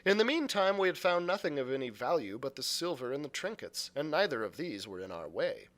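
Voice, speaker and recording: A man narrating a storybook aloud as a librarian would, with deliberate, dramatic phrasing. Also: on the high side, sing-song, fairly bright tone. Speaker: youth services librarian reading aloud.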